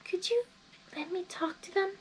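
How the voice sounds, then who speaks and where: A woman's voice: very high voice, moderate pace, faint music behind, pitched above the performer's own voice, low-quality recording. A woman doing a timid, whispery pony girl. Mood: soft pleading. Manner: soft, timid and pleading. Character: shy gentle young female pegasus pony.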